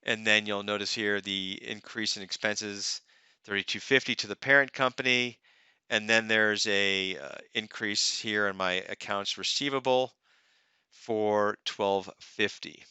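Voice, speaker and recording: A man narrating a software tutorial as a demonstrator, explaining step by step in a conversational way, close to the microphone. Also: lowish voice, steady tone, a little slow, smooth, no echo. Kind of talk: narrated software tutorial, semi-scripted walkthrough. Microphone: close microphone, screen-recording voice-over.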